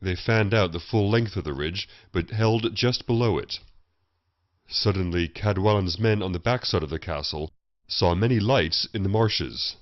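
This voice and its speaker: A man's voice: low voice, normal speed. A man reading a historical fantasy story as a scripted audiobook narration. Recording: muffled, roomy voice recording.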